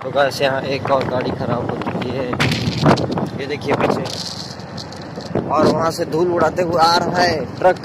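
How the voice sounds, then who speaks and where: A man's voice: a little high, little pitch movement, a little slow, long pauses, over loud music, poor audio. A young man, chatting casually to viewers while riding a motorbike outdoors. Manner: chatty, pointing things out to viewers. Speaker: vlogger. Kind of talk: casual ride commentary. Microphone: camera mic on a riding bike. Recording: loud wind and engine noise. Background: wind rush and traffic.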